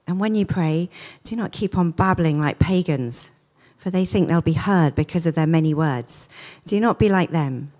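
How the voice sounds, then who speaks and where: A woman in church reading scripture, introducing a guest speaker, and leading an earnest prayer. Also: lowish voice. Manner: gentle, earnest praying.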